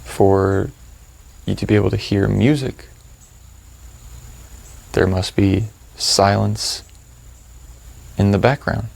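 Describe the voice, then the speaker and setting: Male meditation guide, slow and calm, heavy vocal fry, plain-spoken and unhurried. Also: lowish voice. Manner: calm, hushed, unhurried with long pauses.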